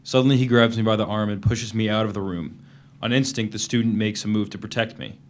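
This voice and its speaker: A man's voice: lowish voice, fairly even intonation, fast talker, natural voice. An actor playing a guarded, defiant prisoner, narrating his journal tersely in a dramatic reading of a novel. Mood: guarded, tense. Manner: plain, guarded, terse storytelling in present tense.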